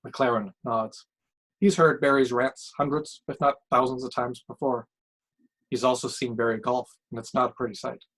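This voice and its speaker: Male author-narrator: husky middle register, measured, expressive read of scripted short fiction. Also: medium pitch, rough recording. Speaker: author reading own short story.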